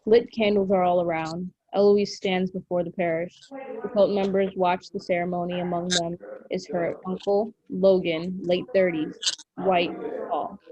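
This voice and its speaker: A woman's voice: lowish voice, dark tone, highs cut off. A woman narrating the stage directions of a script at a table read.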